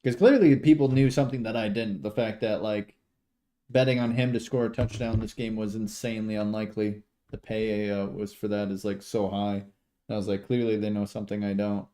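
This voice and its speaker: A man's voice: lowish voice, steady tone, normal speed, dark tone. An American man doing informal, rambling livestream football commentary that mixes play-by-play with casual, sweary chat. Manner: rambling, informal, sweary, talks sports betting slang.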